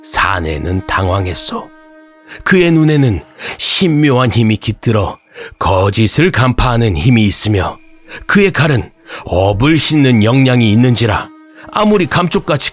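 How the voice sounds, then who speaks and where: A man telling a scripted horror folktale as an old-fashioned raconteur, with dramatic pauses and archaic turns of phrase. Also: mid-range voice. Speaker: horror radio storyteller.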